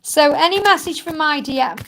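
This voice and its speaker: Croaky woman doing a live card reading, gravelly and high, calm and reassuring, says things twice. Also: brisk, a little hiss or hum, low-quality recording. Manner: soothing and reassuring, repeats phrases often. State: croaky, tired voice from much talking. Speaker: tarot card reader and live-stream host.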